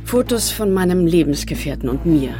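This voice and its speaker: A woman's voice: on the low side, animated, normal speed, over music, natural voice. An actress playing a blunt, scornful woman in a German radio drama, dismissive and insistent. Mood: scornful, brisk. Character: blunt, scornful woman who dismisses illusions and others' troubles.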